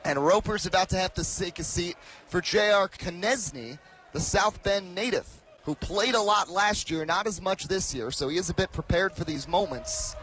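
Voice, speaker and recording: A man in his early twenties doing live radio basketball play-by-play, with an emphatic, excited delivery that rises to shouts. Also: high voice, moderate pace. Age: early 20s. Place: courtside in a large arena.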